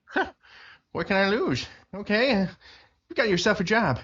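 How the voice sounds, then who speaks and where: A man's voice: high voice, expressive, moderate pace, background noise. An actor playing an amiable neighborhood florist who hems and hesitates as he answers. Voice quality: hesitant, hemming speech with chuckles. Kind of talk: 1940s radio drama acting. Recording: dull lo-fi radio sound.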